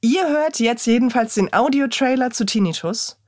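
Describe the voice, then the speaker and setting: Female podcast host, slightly high voice, dry studio sound, scripted announcement. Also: expressive, moderate pace, a little mellow, no echo.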